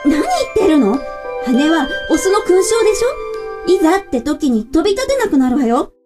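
A woman's voice: high voice, big pitch swings, moderate pace, over loud music. A woman playing a sweet, dreamy, determined young ant in a radio drama, girlish and earnest. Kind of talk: cartoonish radio drama acting.

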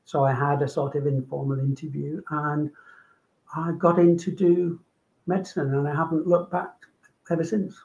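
An older man with a Manchester accent speaks on a video call from his home, stopping often to restart his words. His voice sits a touch high for a man. The sound is dull and lo-fi. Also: flat delivery, gravelly, a little mellow. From England. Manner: halting, with many ums and repeats. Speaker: guest doctor. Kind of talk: casual video call interview. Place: home room.

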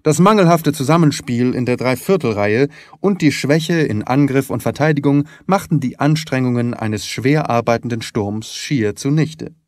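A man reads as a detective's loyal gentleman companion in an audiobook. He speaks plainly and earnestly, at a medium pitch with a dry sound. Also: expressive, normal speed, few pauses, dry recording, natural voice. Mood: informative. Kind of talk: audiobook character reading.

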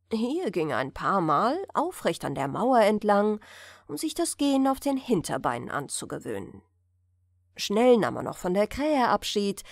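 A woman reads a bedtime fairy tale in a fairly high, very expressive voice. She takes it at an unhurried pace, close to the microphone, like an audiobook. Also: no room sound. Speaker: audiobook narrator.

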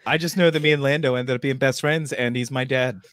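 A man's voice: mid-range voice, steady tone, brisk, fairly bright tone. A man chatting casually with friends on a group video call. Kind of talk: casual chat.

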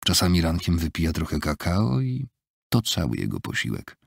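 A man reading an audiobook in a measured, even storytelling manner, recorded on a close studio mic. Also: dry recording. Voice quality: low gravelly husky voice. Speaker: audiobook narrator. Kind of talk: scripted audiobook narration. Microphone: close studio mic, dry.

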